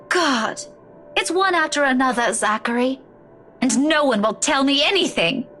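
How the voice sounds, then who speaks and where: An actress in a story game playing a weary, distraught woman who speaks haltingly, with sighs. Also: high-pitched, animated, sharp tone, faint music behind, full character voice. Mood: guilty, upset. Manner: halting, sighing, faltering speech. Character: weary, distraught woman keeping hospital vigil over loved one. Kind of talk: dramatic game dialogue.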